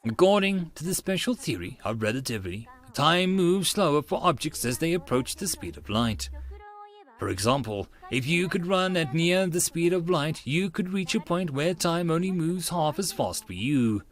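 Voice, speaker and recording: Man voicing a poised, animated teenage genius girl. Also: on the high side, normal speed, close to an everyday voice. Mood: lecturing. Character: gutsy eighteen-year-old genius girl, poised and sharp-tongued.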